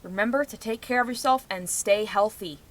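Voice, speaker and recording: A woman's voice: mid-range voice, fairly quick. A young adult woman giving rambling personal commentary in a vlog, speaking in an emphatic, outspoken way. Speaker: vlogger.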